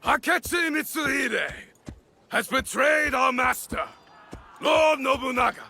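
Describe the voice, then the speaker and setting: An actor playing a commanding Japanese warlord who rallies his army with drawn-out, declamatory battle cries in a video game cinematic. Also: extremely high pitch, creaky, bright, forceful tone, husky, shouting, soft background music, exaggerated voice. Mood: vengeful, rousing. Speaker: military commander.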